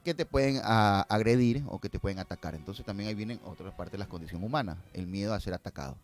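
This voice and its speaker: A man's voice: lowish voice, fast talker. A man talking casually on a podcast as a guest, explaining step by step and often checking in with his listeners. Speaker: guest filmmaker.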